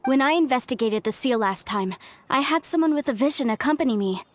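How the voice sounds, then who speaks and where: A woman's voice: high voice, lively intonation, a little fast. An actress voicing an earnest, idealistic young female archaeologist in a video game. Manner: earnest and easily stirred. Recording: game score playing faintly.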